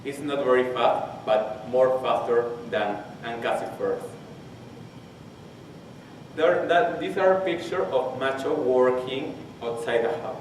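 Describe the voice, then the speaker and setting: A man in his twenties from Peru with a Spanish accent, his first language, giving a technical conference talk and haltingly restarting phrases as he searches for English words. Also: mid-range voice. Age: 20s. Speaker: conference presenter. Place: large conference hall. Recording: very echoey hall, distant mic, faint hiss.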